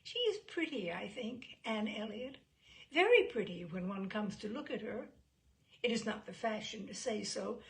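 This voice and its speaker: A woman voicing gossipy, eager society ladies in a period novel, with a chatty, emphatic, lilting delivery. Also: a little high.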